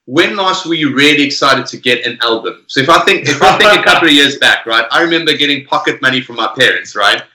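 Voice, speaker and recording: A man's voice: mid-range voice, fast, few pauses. A man in casual conversation about music, talking eagerly and often tagging his points with "right?". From South Africa. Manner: energetic, often tags "right?". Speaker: podcast guest musician. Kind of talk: casual chat. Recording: echoey room.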